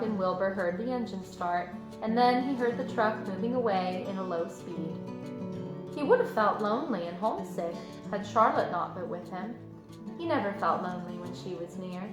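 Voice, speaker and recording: A woman reading a children's book aloud in expressive storybook narration. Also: mid-range voice. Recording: echoey room, music behind.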